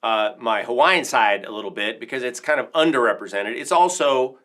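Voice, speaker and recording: Bright-voiced man chatting on a livestream. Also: mid-range voice, animated, brisk. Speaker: livestream host, percussion teacher. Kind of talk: casual livestream chat, showing instruments.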